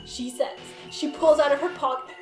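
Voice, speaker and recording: A woman's voice: sits high, steady tone, moderate pace. A giggly woman narrating a story casually among friends and joining the banter. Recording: roomy echo, faint music behind.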